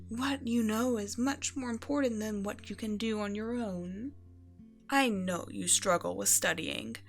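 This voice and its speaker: Woman acting as a regal evil empress, doting mother, measured and condescending, medium pitch, ASMR bedtime storytelling, music underneath. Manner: measured, stately, tender and condescending, addressing a child. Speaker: audio roleplay performer. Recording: music behind the voice.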